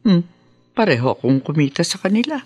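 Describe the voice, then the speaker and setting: Scheming middle-aged madam, sly and greedy, swooping intonation, dry studio sound, radio drama. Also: female voice, on the low side, big pitch swings, brisk, darkish tone, slightly rough, no room sound, soft background music. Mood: sly, gloating. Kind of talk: Filipino radio drama acting.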